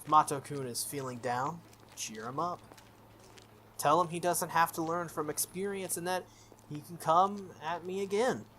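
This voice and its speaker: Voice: medium pitch, moderate pace, a bit bright, slight background noise, close to an everyday voice. A game player voicing a confident, teasing schoolgirl from a visual novel in a breezy, self-assured way. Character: confident, teasing schoolgirl honor student, spirited.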